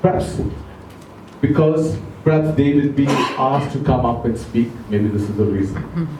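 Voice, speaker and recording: A man giving an educational talk to a club audience, speaking slowly and deliberately, asking them questions, English not his first language. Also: mid-range voice, distant mic, background noise. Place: club meeting room. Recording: echoey room recorded from afar.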